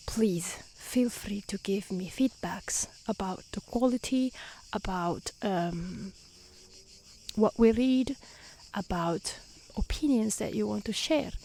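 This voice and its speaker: Woman, Italian accent, soft gentle ASMR voice, unhurried, slightly creaky, careful non-native delivery, outdoors. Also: mid-range voice, lively intonation, slight background noise, low-quality recording. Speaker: streamer and storyteller. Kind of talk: soft-spoken ASMR reading and chat. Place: outdoors in nature near mountains.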